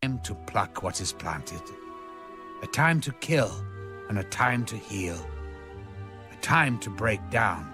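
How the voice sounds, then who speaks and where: A man narrating scripture in a solemn, dramatic reading, measured and formal, with a rising and falling cadence. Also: fairly low pitch, expressive, over music. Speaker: audio Bible narrator.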